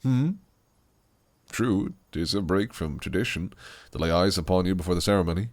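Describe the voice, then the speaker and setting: An actor playing a possessive, scheming fae prince who speaks in silky, archaic phrases that can turn menacing. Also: male voice, deep voice, expressive, moderate pace. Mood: teasing. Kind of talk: audio roleplay acting.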